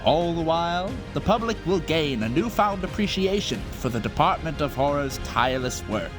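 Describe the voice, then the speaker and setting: Promo narrator, man acted, grandiose family-attraction showman, lively higher-set voice over prominent music. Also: normal speed, lightly acted. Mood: grand, inviting. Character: grandiose theme park pitchman, cheerful showman.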